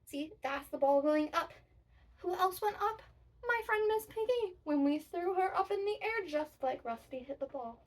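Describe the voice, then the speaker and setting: A woman's voice: very high voice, animated, moderate pace, recorded from a distance. A woman presenting a children's storytime, explaining activities and reading picture books in a sing-song, emphatic way.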